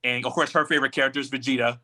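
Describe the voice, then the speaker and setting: A man's voice: medium pitch, fairly even intonation, rapid-fire, bright, forceful tone. A man speaking as a panelist in a casual fan discussion, explaining his points conversationally. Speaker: podcast panelist.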